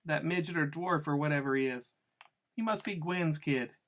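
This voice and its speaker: A man's voice: on the high side, moderate pace, fairly bright tone, lightly acted. A man voicing a giggly, mocking, slangy tough woman in a story reading. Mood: excited recalling. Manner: teasing, taunting, slangy. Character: giggly, mocking, needling tough woman who drinks beer.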